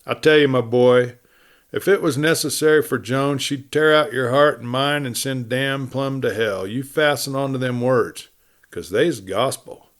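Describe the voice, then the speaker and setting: A man's voice: mid-range voice, a little slow, close to an everyday voice. A man voicing an opinionated, tough cowboy in a storybook western, lecturing in a folksy American Western drawl. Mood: insistent, emphatic.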